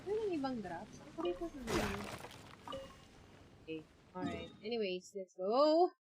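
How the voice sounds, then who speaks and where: A woman's voice: fairly high pitch, sing-song, normal speed, heavy background noise. A woman with a Filipino accent chatting casually on a game livestream. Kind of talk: casual livestream chat, mixing English and Tagalog. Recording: game music behind the voice. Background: video game music.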